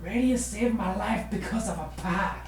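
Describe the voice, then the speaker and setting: A hearty, grateful storybook nobleman, performed by a man reading aloud. He proclaims loudly at a raised pitch. The recording is echoey and a little noisy. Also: normal speed, natural voice. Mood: jubilant.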